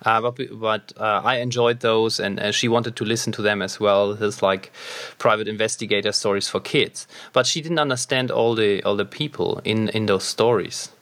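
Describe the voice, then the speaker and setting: A man's voice: lowish voice, fairly even intonation, moderate pace, a bit bright, no echo. A man hosting an interview, talking casually and hesitantly with many fillers and restarts. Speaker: podcast host.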